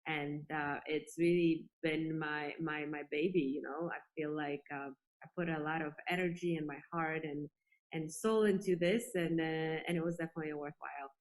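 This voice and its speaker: A woman's voice: low voice, steady tone, normal speed, low-quality recording. A woman from Poland giving earnest, reflective answers as a guest in a conversational interview. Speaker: interview guest, space industry executive.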